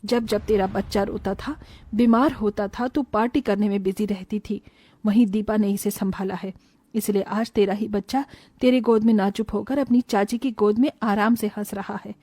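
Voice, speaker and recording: A woman's voice: mid-range voice, fairly even intonation, normal speed, dark tone, no room sound, close to an everyday voice. A stern mother-in-law from a cartoon moral tale, acted by a woman. She sounds emphatic and scolding, full of worry, in a very dry recording. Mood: stern, reproachful. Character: stern, worried mother-in-law and grandmother of the family. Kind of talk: animated Hindi moral story dialogue.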